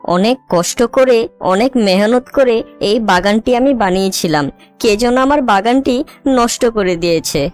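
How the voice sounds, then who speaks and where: A woman's voice: on the high side, lively intonation, measured pace, soft background music. A woman voicing a sorrowful, hardworking mother bird in a children's cartoon, earnest and plaintive. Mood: sad, worried.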